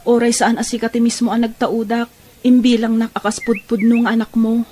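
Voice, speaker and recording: A woman's voice: fairly high pitch, flat delivery, moderate pace, mellow, natural voice. A woman playing a sweet, earnest daughter in an audio drama, with an Ilocano accent. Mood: grateful.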